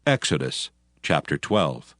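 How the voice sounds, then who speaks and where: A man's voice: extremely low pitch, big pitch swings, slow pace, fairly bright tone, no room sound, clean studio sound. A man narrating scripture from a script in a formal, solemn manner.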